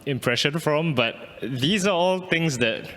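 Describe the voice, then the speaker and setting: A man's voice: a little high, lively intonation, unhurried, a bit bright. A young man, an artist whose first language is Malay, talking thoughtfully about his work with frequent restarts and fillers. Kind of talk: reflective interview answer. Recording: reverberant hall.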